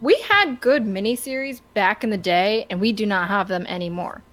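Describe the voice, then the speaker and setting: A woman's voice: mid-range voice, lively intonation, normal speed, a bit bright. A woman in her thirties chatting casually as a podcast co-host. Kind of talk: casual chat.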